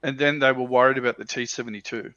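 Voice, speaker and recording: A man with a bright, forceful voice of average pitch talks through his subject in a casual panel discussion. He clears his throat often. The audio is somewhat dull and lo-fi. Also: steady tone, fast. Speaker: livestream panelist on tabletop wargaming. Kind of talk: casual discussion, explaining slides. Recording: dull lo-fi roomy livestream audio.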